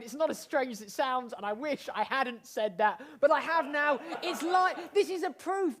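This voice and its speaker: Excitable high-pitched British man hosting. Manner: excitable, rambling, effusive. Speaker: host. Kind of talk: improvised live comedy show hosting. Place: indoors, live online show.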